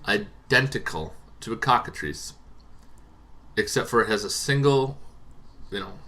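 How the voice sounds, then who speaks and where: A man hosting a tabletop roleplaying show, explaining casually and off the cuff in a rambling, halting way that repeats words. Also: fairly low pitch, animated, bright, forceful tone. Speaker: host of a tabletop roleplaying monster lore show. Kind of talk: casual unscripted explainer to camera.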